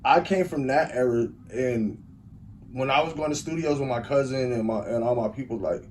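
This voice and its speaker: A young man with a Chicago accent rambles through his story with energy, filling it with "like" as he goes. His voice sits at an ordinary middle pitch. A faint hiss of noise runs underneath. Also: normal speed. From Chicago, USA. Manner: rambling, animated storytelling with lots of "like". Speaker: guest, audio engineer and rapper. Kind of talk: casual storytelling interview.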